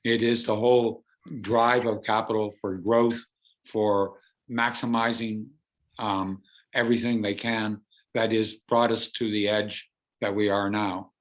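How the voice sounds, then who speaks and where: A Canadian artist speaks over a video call, his voice hoarse from laryngitis. He sits a little low in pitch and explains his work in an earnest, explanatory way. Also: flat delivery, a little slow, rough recording. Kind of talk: presenting artwork on a video call.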